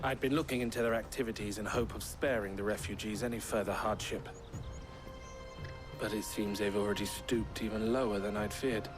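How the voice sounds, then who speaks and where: Man voicing a resolute protective swordsman in a game, lowish voice, articulate, music playing behind. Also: steady tone, a little fast, close to an everyday voice. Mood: grave, earnest. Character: self-assured, protective swordsman guardian, plain-spoken and resolute. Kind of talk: video game voice acting.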